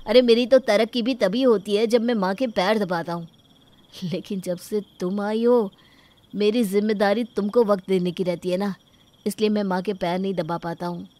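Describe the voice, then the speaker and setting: A woman's voice: medium pitch, steady tone, moderate pace, warm tone, lightly acted. A woman voicing a young husband in a moral story, a whiny, two-faced mama's boy who sounds showily dutiful. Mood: apologetic. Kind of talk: acted Hindi moral-story dialogue.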